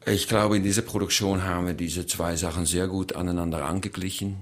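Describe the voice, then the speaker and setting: A man's voice: low-pitched, level tone, brisk. A man from the Netherlands with a Dutch accent, a choreographer answering interview questions hesitantly, with many fillers.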